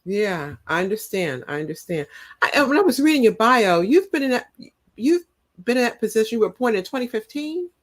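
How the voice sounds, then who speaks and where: A woman hosting a podcast, introducing and questioning her guest in casual chat with encouraging prompts and quick laughs. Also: on the high side, big pitch swings. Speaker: podcast host.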